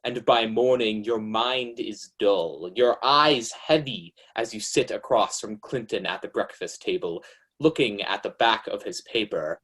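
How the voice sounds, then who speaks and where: An actor playing an ominous, knowing narrator of crime tales in the style of 1940s radio suspense. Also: male voice, fairly low pitch, a bit bright. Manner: deliberate, ominous.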